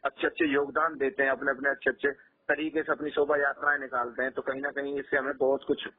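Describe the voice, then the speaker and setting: A man's voice: mid-range voice, little pitch movement, fast talker, husky. A man talking earnestly on a phone call, emphatic and often repeating his phrases. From Rajasthan, India. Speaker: local journalist and community activist, being interviewed. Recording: tinny, muffled phone call recording.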